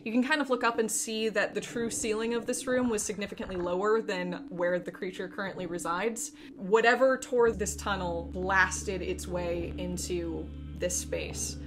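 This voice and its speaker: A female game master with a mid-pitched voice narrates a tabletop fantasy game. Music plays behind her descriptions. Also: steady tone, moderate pace, few pauses. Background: background music.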